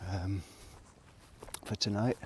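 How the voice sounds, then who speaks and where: A man with a fairly low voice speaks in a casual, reflective vlog style. He muses and rambles, halting and trailing off between thoughts. Faint noise and clicks sit behind his voice. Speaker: vlogger. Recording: noticeable background noise, clicks.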